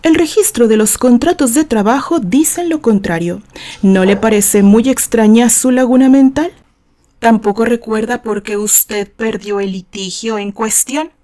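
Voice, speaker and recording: A woman's voice: fairly high pitch, measured pace, warm tone, close to an everyday voice. A woman voicing a composed male defense lawyer in an audio novel, questioning formally and pointedly. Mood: calm, pointed, then quietly accusing. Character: calm, incisive male defense lawyer interrogating in court.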